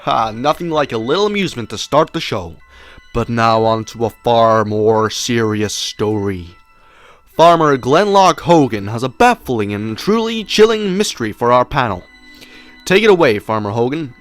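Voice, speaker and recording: Man acting a lively, showy game-show compere. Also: medium pitch, moderate pace, soft background music, close to an everyday voice. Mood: theatrical. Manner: theatrical showman patter, patronising. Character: showy, glib television panel game compere. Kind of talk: fan audio drama acting.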